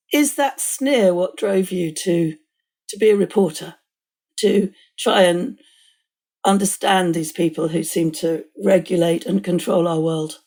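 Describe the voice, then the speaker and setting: A woman hosting a podcast interview, asking questions in a measured, attentive way with halting phrasing. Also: medium pitch, mellow.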